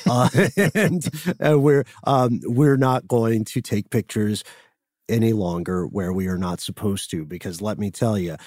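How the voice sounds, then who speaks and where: A man's voice: lowish voice, a little slow, a little mellow, no echo, clean studio sound. A man co-hosting a podcast, making playful jokey asides. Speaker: podcast co-host. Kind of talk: casual podcast chat.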